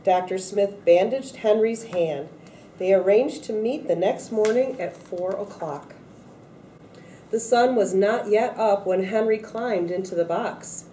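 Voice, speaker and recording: A woman reading a children's picture book aloud in a deliberate way, acting out the quoted speech a little. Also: medium pitch, mellow, distant mic. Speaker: storybook reader at a read-aloud event. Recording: echoey room, off-mic, faint noise.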